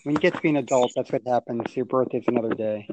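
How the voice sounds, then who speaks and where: A man raised with Yiddish and Hebrew, chatting casually in a plain, repetitive, low-key way. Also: quick pace, background noise. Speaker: podcast co-host. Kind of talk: casual chat.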